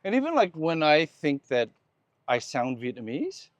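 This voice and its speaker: A man's voice: fairly high pitch, wildly swooping pitch, moderate pace, no echo. Thoughtful man in his fifties. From United States. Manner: thoughtful, laughs easily, restarts phrases. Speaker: host. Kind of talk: casual conversation while walking. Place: outdoors on a walk.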